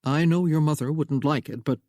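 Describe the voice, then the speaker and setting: A man voicing an older American father with a booming drawl, proud and protective of his daughter. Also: fairly quick, a little mellow, no echo, studio quality, natural voice. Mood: fatherly.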